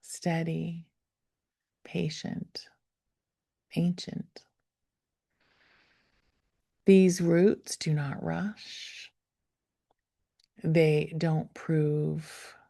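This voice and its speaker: A woman leading a guided meditation, speaking gently and without hurry, with soft, breathy phrase endings that fall toward a whisper. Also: deep voice, flat delivery. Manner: gentle, unhurried, with long pauses. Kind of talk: guided meditation, slow soothing instruction.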